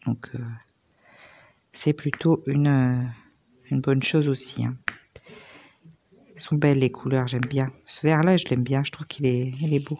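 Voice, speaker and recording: A slightly hoarse woman in her thirties, chatting casually with lots of fillers as she works on a diamond painting at home. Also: very deep voice, fairly even intonation, unhurried, long pauses, dark tone, slight background noise. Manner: chatty, rambling, many fillers. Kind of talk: casual chat while crafting.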